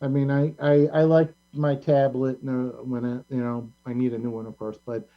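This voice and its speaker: Halting talk show host, dull lo-fi sound. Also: mid-range voice, level tone. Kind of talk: casual group discussion on a video call. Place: remote video call. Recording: dull lo-fi call audio.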